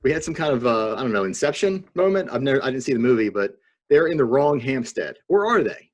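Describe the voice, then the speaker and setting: Husky, animated American man, teasing. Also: mid-range voice, fast. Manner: wry, teasing. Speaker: podcast co-host. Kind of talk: casual chat. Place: video call from lockdown. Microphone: video call microphone.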